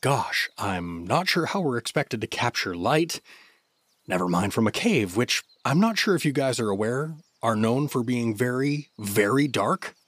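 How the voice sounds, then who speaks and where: A bumbling male adventurer with a raspy edge. He rambles awkwardly, his pitch bouncing around, in a comedic fantasy audio drama recorded dry. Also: very animated, measured pace, fairly bright tone, dry recording, exaggerated voice. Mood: baffled. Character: goofy, awkward, exasperated father-figure adventurer.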